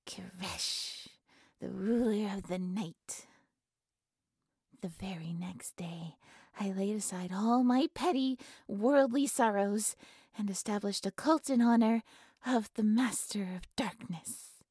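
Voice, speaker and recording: A woman playing a giddy, devoted cultist who worships her captive, soft and breathy, often slipping into whispers, in a gushing, reverent audio roleplay monologue. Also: mid-range voice, slow pace, dry recording, clean studio sound. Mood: earnest confiding.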